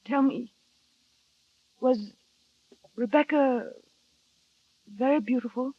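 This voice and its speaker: An actress playing a shy, insecure young wife who narrates wistfully and asks hesitant, earnest questions, on an old radio drama. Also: female voice, high voice, slow pace, dry recording. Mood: anxious. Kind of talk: 1940s radio acting. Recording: dry, dull vintage radio recording.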